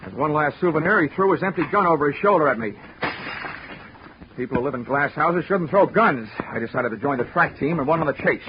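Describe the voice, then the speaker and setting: A man's voice: fairly high pitch, lively intonation, a little fast, background noise, close to an everyday voice. An American actor playing a cool, cocky, wisecracking male private investigator in 1940s radio drama, with a wry, punchy delivery. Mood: wry, tense. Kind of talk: 1940s radio detective narration and acting. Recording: muffled vintage radio recording with music.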